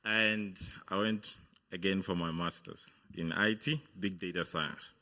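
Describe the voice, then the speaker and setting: A man's voice: sits low, steady tone, a little slow, sharp tone. A young man from Uganda giving a hesitant on-stage talk about his studies, with many fillers and restarts. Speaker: guest speaker, business founder. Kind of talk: motivational speech. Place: on stage at a university event.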